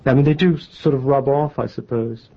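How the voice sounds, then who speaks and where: Gravelly American man, halting interview talk. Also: medium pitch. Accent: faintly English-tinged American. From New England, United States. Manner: halting, many restarts, wry. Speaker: novelist guest. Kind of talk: conversational interview answers. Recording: muffled lo-fi interview tape.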